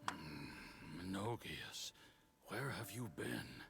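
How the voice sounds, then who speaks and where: An actor playing a confused, dying ancient warrior in a video game, halting and gravelly with breathy moments. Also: male voice, sits low, expressive, over loud music, exaggerated voice. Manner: halting, dazed. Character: dying, confused ancient warrior spirit losing his memory.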